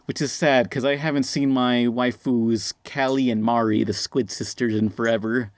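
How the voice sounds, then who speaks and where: A man's voice: medium pitch, steady tone, moderate pace, no room sound. A man in his twenties hosting a podcast, rambling and self-mocking with lots of restarts. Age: 20s. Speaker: podcast host. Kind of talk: casual podcast banter.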